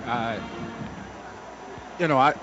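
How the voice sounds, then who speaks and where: An American man giving conversational football analysis as color commentator, in a halting, folksy way. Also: medium pitch, over music. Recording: dull noisy stadium radio broadcast.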